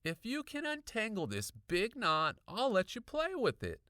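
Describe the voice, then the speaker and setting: Man voicing a high-pitched, dismissive young boy. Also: huge pitch swings, measured pace, pushed and bright, smooth, dry recording, studio quality, heavily acted. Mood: teasing. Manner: muttering, unimpressed, mocking. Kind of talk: children's horror audiobook acting.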